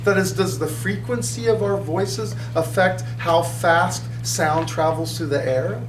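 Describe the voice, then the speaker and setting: A middle-aged man teaching a high school physics class, chatting with students and often checking they follow. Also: a little slow. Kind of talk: classroom lecture. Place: classroom at a whiteboard. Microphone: far camera. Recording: echoey classroom from far off with hum.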